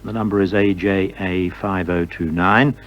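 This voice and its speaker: A man's voice: low-pitched, fairly even intonation, a little slow, a little hiss or hum. A man presenting a radio programme of vintage music in the manner of a show host. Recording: dull lo-fi radio recording, music.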